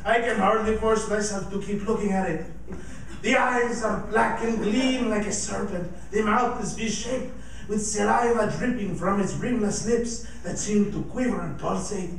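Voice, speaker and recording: Echoey, high-pitched, horrified radio reporter played by a man. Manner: breathless, halting eyewitness delivery. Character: frightened radio reporter witnessing an alien emerge. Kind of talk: old-time radio drama acting, live on stage. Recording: very echoey theatre stage, distant, faint noise.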